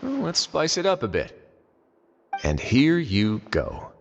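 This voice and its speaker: An actor playing a composed, authoritative duke in a video game, speaking with dry, teasing assurance. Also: male voice, fairly high pitch, wildly swooping pitch, takes it slowly, vocal fry, in a large hall, a little hiss or hum, heavily acted. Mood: wry, teasing.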